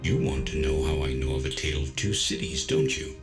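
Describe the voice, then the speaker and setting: A man's voice: a bass voice, fairly quick, over music. A man playing a genial, self-assured artificial intelligence in an audio drama, polite, chatty and matter-of-fact.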